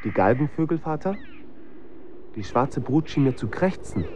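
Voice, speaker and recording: A man's voice: medium pitch, expressive, normal speed, darkish tone, natural voice. Male actor as deferential young son, historical radio drama, eager, mid-range voice, noisy animal ambience. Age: young adult.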